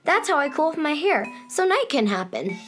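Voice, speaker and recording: A woman's voice: sits high, very animated, normal speed, fairly bright tone, soft background music. An actress playing a sunny, self-satisfied young girl who rules summer in a children's radio drama, breezy and gushing. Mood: cheerful, proud.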